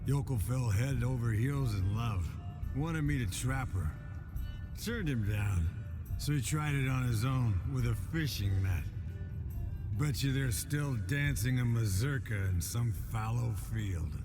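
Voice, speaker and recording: An actor playing an easygoing, level-headed monster hunter in a video game, talking in casual, clipped phrases. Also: male voice, mid-range voice, a little slow, natural voice. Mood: amused storytelling. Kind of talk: dramatic video game dialogue. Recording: game music behind the voice.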